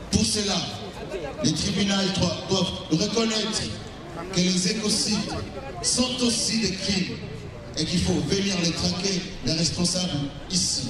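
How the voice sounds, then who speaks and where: A man speaking for a youth association, declaiming a protest speech in short rallying phrases. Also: sits high. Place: crowded rally. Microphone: far from the mic. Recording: distant echoey hall with crowd. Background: crowd.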